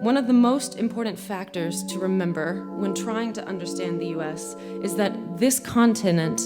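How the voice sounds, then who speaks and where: A young American woman giving a measured, earnest spoken essay from a theater stage, as music plays behind her. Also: medium pitch. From United States. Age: young adult. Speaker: violinist and speaker.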